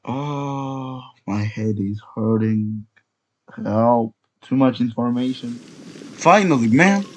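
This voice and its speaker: A man's voice: medium pitch, slow pace, low-quality recording. A man giving casual gameplay commentary as a let's player. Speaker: let's play creator.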